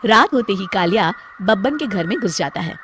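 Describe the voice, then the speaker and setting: A woman's voice: mid-range voice, animated, brisk, background noise. A woman storyteller delivering scripted narration for a cartoon tale.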